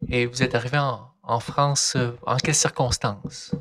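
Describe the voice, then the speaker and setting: A man of ordinary pitch hosting a podcast interview. He keeps his questions short and encouraging, and often echoes his guest's words back. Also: fairly even intonation, normal speed, fairly bright tone. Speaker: podcast host. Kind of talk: interviewer, conversational.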